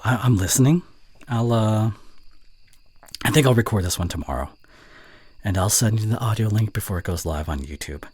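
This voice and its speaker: A young man playing a teasing, fond college student and voice actor in an intimate ASMR roleplay, with a breathy voice that often drops toward a whisper and halting, playful delivery. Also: on the low side, a little mellow. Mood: reluctant, fond. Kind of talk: scripted ASMR roleplay addressed to the listener.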